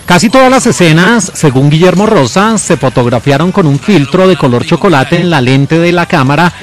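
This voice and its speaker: A man presenting a film in scripted narration, giving titles and names with deliberate emphasis. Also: fairly high pitch, expressive.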